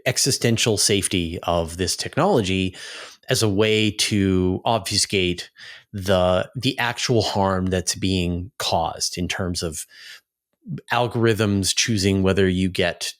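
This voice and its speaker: A man's voice: low-pitched, slow. A man hosting a casual interview, feeling his way through long, thoughtful questions. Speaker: host, interviewer.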